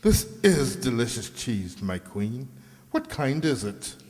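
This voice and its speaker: Man playing a stately, slow-spoken courtly king. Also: medium pitch, very animated, darkish tone. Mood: curious, hopeful. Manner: formal, stately, flowery speech. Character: courtly, troubled King of Morocco, gracious host. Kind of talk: children's radio drama acting. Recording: reverberant hall sound.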